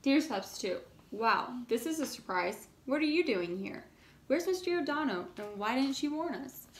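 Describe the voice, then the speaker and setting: A woman reading as an earnest, indignant young schoolchild in a storybook, with expressive emphasis. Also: high voice, moderate pace, pitched above the performer's own voice. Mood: indignant surprise. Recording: echoey room, faint background noise.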